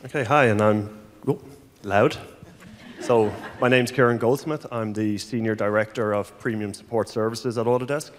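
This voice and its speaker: Irish guy in his 40s, lowish voice, chatty, echo of a big hall. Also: steady tone, moderate pace. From Ireland. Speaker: panelist, senior director. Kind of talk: conference panel introduction. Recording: long hall reverb through PA.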